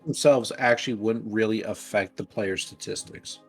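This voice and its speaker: Game master, male, lowish voice, relaxed reassuring chat, faint music underneath. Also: moderate pace. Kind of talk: casual chat with players.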